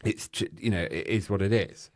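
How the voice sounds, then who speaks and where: A man with a loud, exuberant and flamboyant way of speaking, his voice sitting on the lower side. He talks candidly and openly in a relaxed podcast chat. The studio mic sounds dry, with hardly any room echo. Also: normal speed.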